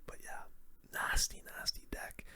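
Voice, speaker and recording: A man whispering casual, slangy gaming commentary in a breathy ASMR style, close to the microphone. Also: measured pace, sharp tone. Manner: casual, slangy gamer talk. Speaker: gaming video host.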